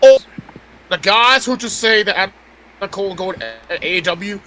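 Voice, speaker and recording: A man in a casual, opinionated podcast chat, blunt and halting, often repeating his words. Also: high voice, bright, forceful tone, faint music behind, rough recording. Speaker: podcast panelist.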